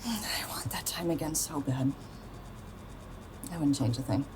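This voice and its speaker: An American woman playing a bitter, wounded pop star of twenty-seven in a naturalistic radio play, speaking in a halting, emotional, sharp-tongued way. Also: deep voice, lively intonation, background noise. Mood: wounded, subdued. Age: young adult.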